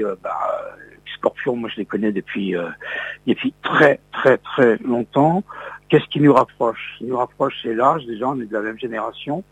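Gravelly older man reminiscing, halting. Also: mid-range voice, lo-fi sound. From Lorraine, France. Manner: hesitant, many fillers and restarts. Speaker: radio host. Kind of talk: interview, reminiscing.

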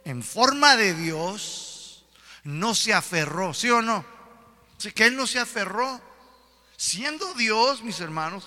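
A male preacher delivers a sermon in an echoing hall. His voice sits high and is extremely bright and forceful. He speaks emphatically, often putting questions to his listeners. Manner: emphatic, addresses listeners with repeated rhetorical questions. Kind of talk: sermon to a congregation. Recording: reverberant hall.

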